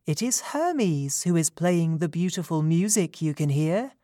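A sweet, gentle mountain fairy from a storybook myth, as a reader puts her on, her voice lifted a little higher and kept soft. She talks slowly and with lots of melody. The recording is dry and close. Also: animated, dry recording, lightly acted.

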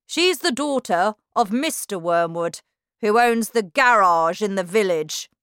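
Woman doing a big scary headmistress, yelling, loud and mean, slow and heavy. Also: medium pitch, expressive, clear, resonant tone, dry recording, clean studio sound, voice pushed up. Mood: angry shouting. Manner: loud, shouting, dangerous. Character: huge, furious, bullying female head teacher.

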